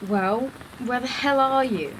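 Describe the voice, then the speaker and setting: A woman's voice: on the high side, measured pace, heavy background noise, close to an everyday voice. A young woman acting in an audio drama as an exasperated, reluctant female video blogger with a sarcastic manner. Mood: impatient.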